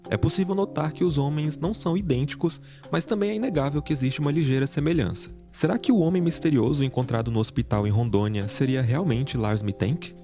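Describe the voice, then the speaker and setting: A man giving a scripted, measured true-crime narration. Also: fairly high pitch, warm tone. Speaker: narrator of the reacted video. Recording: music bed under narration. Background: background music.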